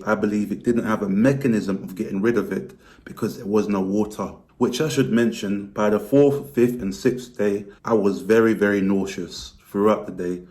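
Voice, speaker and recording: A man talks straight to his viewers in a frank, explaining tone. His voice is hoarse and strained from a lost voice. It sits a little low in pitch. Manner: candid, explanatory, lively pitch rises. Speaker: vlogger. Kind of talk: casual talk to camera.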